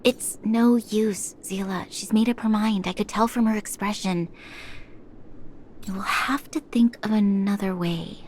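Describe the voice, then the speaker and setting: Woman as an earnest, gentle young woman. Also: mid-range voice, fairly even intonation, measured pace, a little hiss or hum, lightly acted, low-quality recording. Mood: resigned. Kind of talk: video game voice acting.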